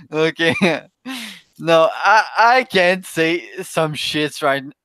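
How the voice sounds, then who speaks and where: A man from Quebec whose first language is French, speaking English with a Québécois accent in a casual voice chat, halting and searching for words, laughing often. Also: fairly high pitch, expressive, pushed and bright, dry recording. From Quebec, Canada.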